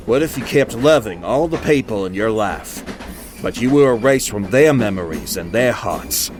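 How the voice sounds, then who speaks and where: A man's voice: lively intonation, normal speed, close to an everyday voice. A man playing a thoughtful, questioning steam train crewman in an audio drama, speaking in a curious, reflective way. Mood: earnest, wistful. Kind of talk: amateur audio drama acting. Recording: noisy rumbling train background.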